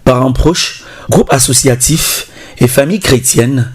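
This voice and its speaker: A man giving a measured, formal scripted narration as a voice-over. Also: medium pitch, slightly rough.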